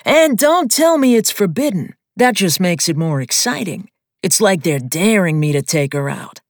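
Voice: medium pitch, big pitch swings, normal speed, no room sound, clean studio sound, voice pushed up. A narrator voicing a brash, joking young male co-pilot in an audiobook. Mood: teasing. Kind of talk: audiobook character voice.

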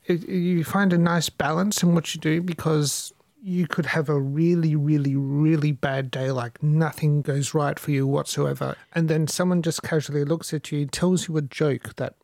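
A man's voice: on the high side, steady tone, normal speed, creaky, few pauses, a little mellow, dry recording. A man, a podcast guest reminiscing in a chatty, vivid way. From Australia. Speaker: podcast guest, old classmate. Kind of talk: casual chat.

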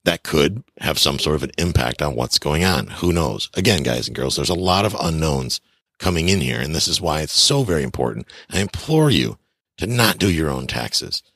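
A man's voice: rumbling low, big pitch swings, moderate pace, a bit bright, breathy edge, no room sound, clean studio sound. A man in his fifties hosting a podcast, giving blunt, chatty advice on real estate and taxes straight to his listeners. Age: 50s. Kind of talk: casual advice monologue.

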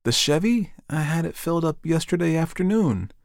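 A man's voice: a little high, animated, normal speed, creaky, no room sound, studio quality, voice pushed up. A man voicing a bewildered, frightened wife in an audiobook story, with a hesitant, wavering delivery. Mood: dazed, wavering. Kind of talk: dramatized audiobook reading.

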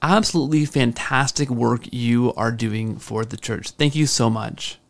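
A man's voice: mid-range voice, a little slow, vocal fry. A man speaking as a podcast host, effusive and thankful in his delivery. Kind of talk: podcast interview and scripted sign-off.

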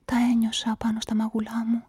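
An actress narrating a radio play in the first person as a nervous events manager, often dropping into confiding whispers. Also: female voice, a little high, monotone, mellow, slightly rough. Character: nervous, talkative female events manager caught up in a murder.

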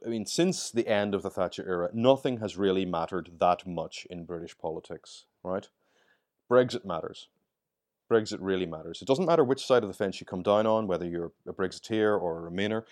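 A man's voice: deep voice, darkish tone. A man in his forties with an Irish accent, a guest commentator talking at length in a podcast discussion, often repeating words as he starts a sentence. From Ireland.

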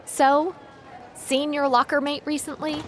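A woman's voice: high-pitched, flat delivery, measured pace, a little hiss or hum. A woman playing a proud, confrontational teenage schoolgirl in a naturalistic audio drama, cutting and condescending. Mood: prying. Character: snippy, proud teenage girl classmate, coolly confrontational.

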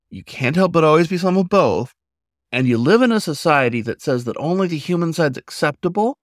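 A man plays a nonbinary werewolf cook from an audio story, pitched up from his narrating voice. The cook is earnest and colloquial, explaining things with emphasis and drifting toward tangents. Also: dry recording, clean studio sound.

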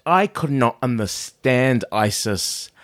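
A man's voice: lively intonation, slow pace, a bit bright, no room sound. Chatty New Zealand man, dry mic. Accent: New Zealand, Auckland. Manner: chatty, bursts into high mock voices when quoting. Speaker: podcast co-host. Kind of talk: casual chat reviewing a TV show.

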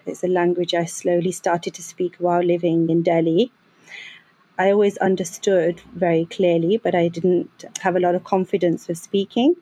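A woman who works as a translator, speaking in a slow, measured, thoughtful way. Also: on the low side, little pitch movement, warm tone, breathy edge, no room sound, low-quality recording. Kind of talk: reflective interview talk.

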